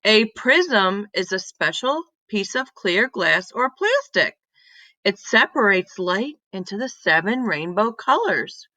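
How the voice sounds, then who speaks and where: A nice male schoolteacher, read by a woman from a storybook. He explains in an instructive way, with a bright tone and a careful, slower pace. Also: medium pitch, big pitch swings, no echo, full character voice. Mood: pleased, explaining.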